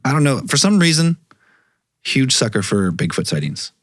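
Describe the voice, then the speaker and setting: A man's voice: mid-range voice, big pitch swings, no room sound. Joking man co-hosting a call-in show. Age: 30s. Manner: joking, profane, quick banter. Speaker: podcast co-host. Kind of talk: casual chat. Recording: dry studio mic.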